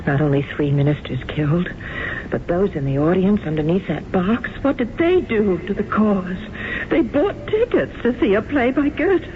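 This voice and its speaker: A woman's voice: medium pitch, animated, normal speed, lightly acted. Woman playing an anguished star actress. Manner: emotional, breathless, pleading. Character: celebrated stage actress, anguished and guilt-torn. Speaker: lead character and narrator. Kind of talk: 1970s radio drama acting. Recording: tinny lo-fi radio broadcast with hiss.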